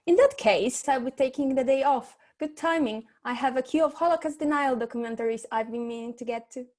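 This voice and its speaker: A private eye's female assistant acted by a woman in a comic radio play. She has a fairly high voice and a sassy, no-nonsense way of talking. Her lines come out dry and unimpressed. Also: fairly quick, a little mellow, lightly acted. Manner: dry, unimpressed, matter-of-fact. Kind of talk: humorous noir radio play acting.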